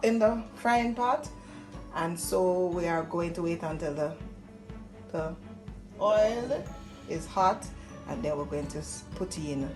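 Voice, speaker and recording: A middle-aged woman with a Jamaican accent gives a casual cooking demonstration, explaining each step and pausing to find words. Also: on the low side. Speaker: home cook demonstrating a recipe. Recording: music playing behind the voice. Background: music.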